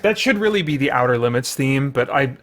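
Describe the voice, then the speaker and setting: A man hosting a casual, unscripted film review in a halting, rambling, self-correcting way. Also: mid-range voice, lively intonation, a bit bright, a little hiss or hum.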